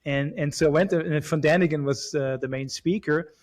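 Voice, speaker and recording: A man in his forties from Switzerland, chatting as a guest, talking haltingly with many restarts and fillers. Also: a little high. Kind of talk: casual chat.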